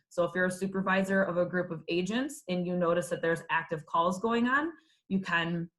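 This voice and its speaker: A woman's voice: fairly low pitch, a bit bright. A woman presenting in a webinar, explaining features in a business-like way.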